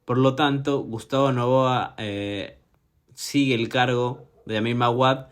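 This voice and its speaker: A man's voice: medium pitch, steady tone, takes it slowly. A man in his twenties from Ecuador, hosting a podcast and giving an informative explainer with frequent hesitations and fillers. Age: 20s. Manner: hesitant, many fillers and restarts. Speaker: podcast host.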